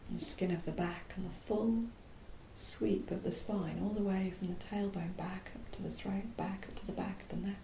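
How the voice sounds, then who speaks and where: A woman leads a guided body relaxation in a mellow voice with a gravelly creak. She speaks softly and soothingly, leaving pauses mid-phrase and letting endings go breathy. The room is echoey. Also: fairly even intonation. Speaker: yoga nidra teacher. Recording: roomy echo, faint low rumbling noise.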